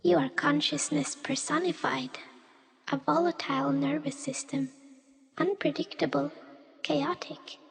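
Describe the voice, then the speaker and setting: A woman's voice: sits high, steady tone, unhurried, creaky. A woman playing a weary, cryptic otherworldly small creature in a poetic radio play, speaking in solemn riddles. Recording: long cavernous reverb.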